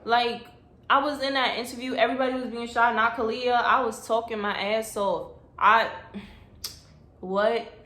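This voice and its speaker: A woman's voice: a little high, fairly even intonation, normal speed, bright, forceful tone. Young new girl giving job tips on her phone, sassy and blunt, kinda echoey room. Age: young adult. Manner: chatty, blunt and sassy, acts out voices. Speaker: vlogger. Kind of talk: casual advice vlog. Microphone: phone camera.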